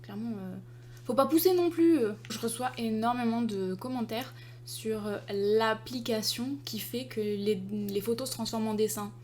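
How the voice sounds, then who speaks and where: A young woman from France, an artist, chatting casually to her viewers in an exasperated, lively way full of fillers. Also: on the high side, normal speed, a little hiss or hum. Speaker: artist and video creator. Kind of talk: casual chat to the camera.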